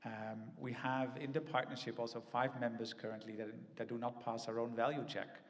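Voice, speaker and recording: A man's voice: medium pitch, little pitch movement, normal speed. A man on a conference panel, speaking thoughtfully with many "ums" and tag questions like "right?" and "no?". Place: large conference hall. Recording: echoey conference hall.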